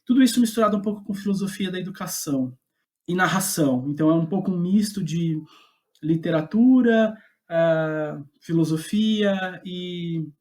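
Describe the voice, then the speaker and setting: Higher-pitched Brazilian man thinking aloud. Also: darkish tone. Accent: Brazilian Portuguese. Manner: hesitant, halting, thinking aloud with repeated words. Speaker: podcast guest, playwright. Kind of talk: casual reflective interview talk.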